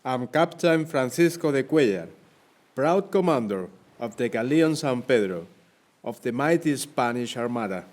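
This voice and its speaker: A shipwrecked Spanish naval captain acted by a man in an audio drama. His medium-pitched voice is earnest, proud and courtly, with formal, declamatory delivery. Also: expressive, moderate pace, a little mellow, close to an everyday voice. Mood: proud, insistent. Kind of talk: audio play monologue.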